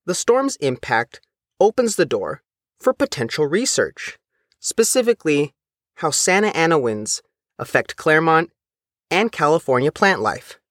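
Lively-pitched male news narrator, dry sound. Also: unhurried, dry recording. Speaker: reporter and narrator. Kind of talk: scripted news feature narration.

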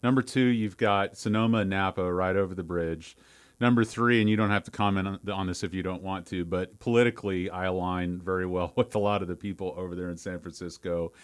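A man's voice: low voice, steady tone, brisk, smooth. A man who practices law hosting a podcast, asking eager, rambling questions in a casual interview chat. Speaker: podcast host and attorney.

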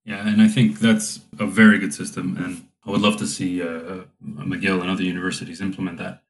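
A young man hosting a relaxed conversation, talking in a thoughtful way with plenty of fillers. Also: low-pitched, fairly quick. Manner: reflective, with frequent fillers. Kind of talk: casual conversation.

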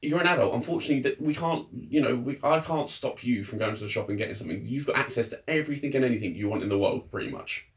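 Brit guy on a podcast, talks fast, blunt and emphatic, mid pitched. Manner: fast, emphatic, advice-giving. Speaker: podcast co-host. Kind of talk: casual chat.

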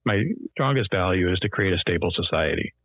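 A podcast contributor, a man with a very deep voice, speaks in a formal, measured way. The sound is thin and phone-like. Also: lively intonation. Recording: tinny phone-like line.

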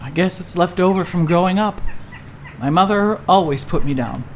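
A woman's voice: lowish voice, animated, moderate pace, slight background noise, natural voice. An actress playing a lonely, sluggish older woman who grumbles and wisecracks at her own expense in a stage play. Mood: downcast, wry. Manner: wry, grumbling, self-mocking. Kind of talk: scripted two-person stage comedy-drama.

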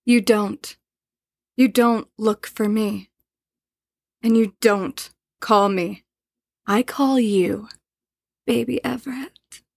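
A woman's voice: medium pitch, steady tone, slow, vocal fry, long pauses. An actress playing a commanding, taunting spirit woman who haunts a manor in a horror audio drama, with an insinuating manner. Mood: taunting, superior. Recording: voice over prominent background music.

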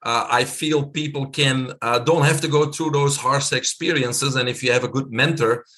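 A man's voice: mid-range voice, normal speed. Guest, male, 50s, Belgian accent; laid-back storyteller with frequent uh's and laughs. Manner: relaxed, chatty, laughs often, many uh fillers. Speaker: guest, physician. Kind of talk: casual storytelling interview.

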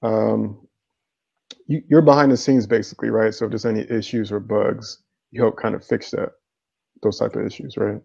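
Casual upbeat guy hosting a livestream, flat low voice, kinda muffled lo-fi sound. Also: moderate pace, gravelly, breathy edge. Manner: casual and upbeat, uses um and man. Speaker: host of a live stream interview. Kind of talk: casual live stream interview.

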